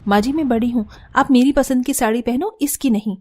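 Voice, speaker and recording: A woman voicing a bossy, gruff but caring elder sister-in-law in a cartoon story. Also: a little high, brisk, warm tone, faint music behind, lightly acted. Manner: bossy, brusque. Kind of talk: cartoon voice acting.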